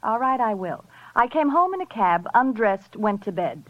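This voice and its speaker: An actress playing a sharp-tongued, cornered femme fatale in a 1950s radio crime drama, curt and insolent. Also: female voice, a little high, big pitch swings, normal speed, no echo. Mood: defiant, sullen. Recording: dull vintage radio recording.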